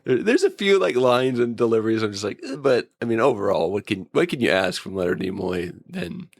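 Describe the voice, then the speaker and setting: A man's voice: medium pitch, big pitch swings, fairly quick, creaky, no room sound. A man chatting casually as a guest reviewer, with an informal, enthusiastic fan's way of talking. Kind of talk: casual episode review chat.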